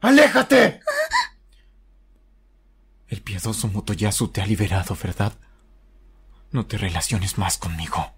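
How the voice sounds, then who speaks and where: A man's voice: on the low side, wildly swooping pitch, unhurried, long pauses, fairly bright tone, slight background noise, exaggerated voice. A man with a Latin American Spanish accent playing a bitter, betrayed young hero in an anime fan dub, brooding, distrustful and anguished. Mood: anguished, furious. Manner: brooding, bursting into anguished outcries.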